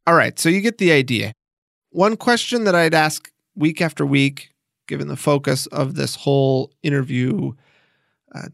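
A man's voice: a little high, vocal fry, dry recording, clean studio sound. An American man hosting an audio documentary, narrating conversationally and talking directly to the listener. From United States. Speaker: podcast host.

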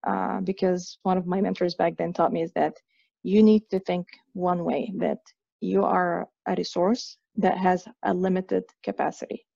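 Woman from Egypt, 30s, gravelly, flat delivery, halting with fillers, dull lo-fi recording. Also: on the low side, dry recording. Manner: hesitant, many fillers and restarts. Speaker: guest. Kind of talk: conversational interview.

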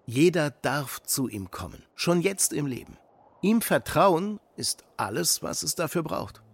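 Gentle widowed dad in kids audio play, raspy, really expressive melody, explaining patiently. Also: male voice, fairly high pitch, unhurried, full character voice. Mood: patient, earnest. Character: gentle widowed father explaining faith to children. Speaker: actor in a children's audio drama. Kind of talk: German children's radio play acting.

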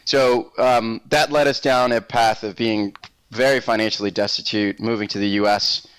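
Low-pitched man telling his story. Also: fairly even intonation, normal speed, a bit bright, smooth, dry recording, low-quality recording. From Puerto Rico, later Massachusetts. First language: Spanish. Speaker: interview guest. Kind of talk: conversational personal storytelling.

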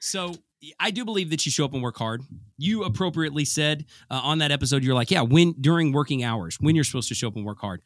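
A man co-hosting a podcast, chatting casually in a playful, excitable way with sing-song emphasis. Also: a little high, fast, dry recording, studio quality. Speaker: podcast co-host.

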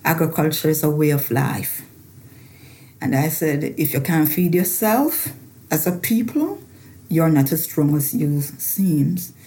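A woman's voice: deep voice, expressive, measured pace, dark tone. A woman from Jamaica with a Jamaican accent, a farmer and researcher talking personally in an interview and repeating phrases for emphasis.

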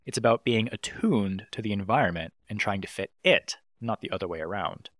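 A man's voice: lowish voice, fairly quick, no room sound, clean studio sound. A man hosting a podcast, reading a scripted intro and then chatting playfully with his guest. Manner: animated, playful delivery. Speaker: podcast host.

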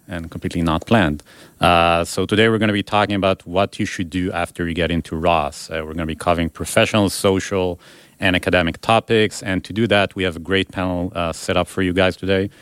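A man's voice: sits low, a bit bright, clean tone, no room sound, clean studio sound. A man hosting a business school podcast, welcoming listeners and introducing a panel. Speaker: podcast host.

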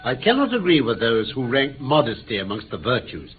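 A man with an English accent playing a precise, self-assured gentleman detective in a radio play, dry and immodest in manner. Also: medium pitch, big pitch swings, fairly quick, lightly acted. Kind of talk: mid-century British radio play acting. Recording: narrow-band old radio recording, faint hiss.

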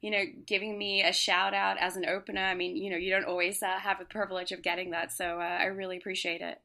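Young woman, low gravelly voice, bright tone, relaxed interview guest, laughs easily. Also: fast. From Australia and Singapore. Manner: relaxed, laughs often, many fillers. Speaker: DJ and producer guest. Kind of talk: casual interview chat.

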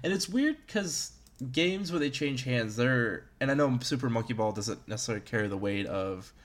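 A man's voice: mid-range voice, expressive, normal speed. A man in his early thirties chatting casually as a podcast host about video games, in a rambling, self-deprecating way. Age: early 30s.

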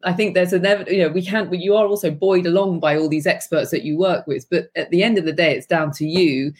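Woman co-founder, low breathy voice, warm and quick to laugh. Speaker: small business co-founder. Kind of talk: interview answer.

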